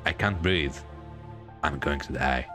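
A man's voice: very deep voice, unhurried, voice pushed down. A man voicing a frightened young woman who narrates her ordeal dramatically in a horror visual novel. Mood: dread. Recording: music behind the voice.

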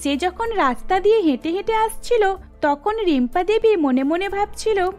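A woman narrates a scripted cartoon story. Her voice is strikingly high-pitched. Music plays behind the narration. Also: animated, normal speed, a little mellow.

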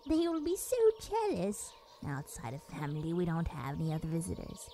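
An actress playing a kindly, wistful elderly retirement home resident in an audio drama, gentle and genteel. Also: female voice, sits low, very animated, fairly quick. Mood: flattered, wistful. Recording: faint noise, birdsong behind.

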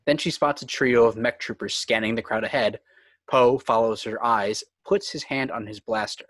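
A man's voice: medium pitch, steady tone, normal speed, fairly bright tone, no echo. A man reading screenplay stage directions as the narrator of a script table read.

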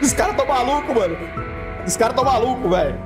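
Man, Brazilian accent, excited gaming commentary, very varied pitch, repeated phrases, profane slang. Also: fast talker, over music. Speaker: gaming streamer. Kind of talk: excited live game-stream commentary. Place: indoor room, webcam streaming setup.

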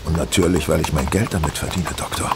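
A man playing a brilliant, self-assured gentleman detective in a German audio drama, explaining things deliberately with casual superiority. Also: a bass voice, creaky, heavy background noise. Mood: dryly confident.

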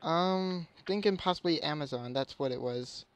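A young man on a call, speaking in a halting, apologetic way as a customer. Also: a little high, no echo. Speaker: prank caller. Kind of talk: hesitant phone conversation. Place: online voice call.

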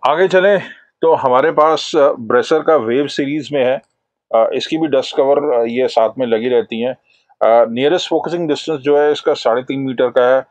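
A man's voice: mid-range voice, normal speed, no echo, highs cut off. A middle-aged man in a shop, explaining binoculars in a product review, hesitating often with "uh" fillers. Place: binocular shop, indoors, shelves of boxes. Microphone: lapel mic.

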